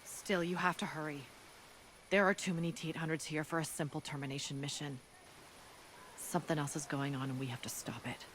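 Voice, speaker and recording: A woman's voice: sits low, steady tone, a little fast, heavy background noise, natural voice. A woman playing a grim, dutiful resistance fighter in a video game cutscene, speaking with earnest, serious urgency. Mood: urgent, serious.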